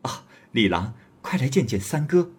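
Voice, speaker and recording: A man voicing a graceful, composed young woman who speaks softly and politely. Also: mid-range voice, big pitch swings, normal speed, breathy edge, voice pushed up.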